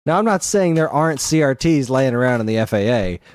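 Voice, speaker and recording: A man's voice: medium pitch, animated, fairly quick, a little mellow, dry recording. A middle-aged man co-hosting a podcast in casual conversation, with a wry, joking way of talking. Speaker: podcast co-host. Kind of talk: casual chat.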